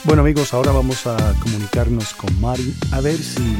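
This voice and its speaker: A man's voice: medium pitch, a little fast, over loud music. A man hosting a podcast, chatting casually and informally with familiar, joking ease. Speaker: podcast host. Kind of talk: casual conversational podcast talk and interviewing.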